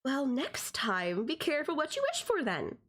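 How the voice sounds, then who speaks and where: A woman's voice: high-pitched, very animated, moderate pace, fairly bright tone. A woman playing a possessive, teasing young stalker in an audio roleplay, talking straight to the listener in a conversational, condescending yet affectionate way. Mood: smug, teasing.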